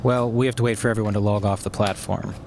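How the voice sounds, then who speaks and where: An actor playing a clever, controlled hacker plotting revenge, delivered as dry, naturalistic audio drama dialogue. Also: male voice, lowish voice, steady tone, quick pace, background noise, natural voice. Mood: focused. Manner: matter-of-fact, dry, controlled.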